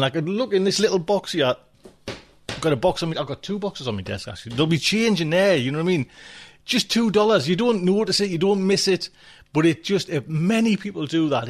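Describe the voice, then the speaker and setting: Male podcast host, home mic, off-the-cuff chatter, slightly high voice. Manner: chatty, rambling, lots of fillers and restarts. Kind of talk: casual rambling chat.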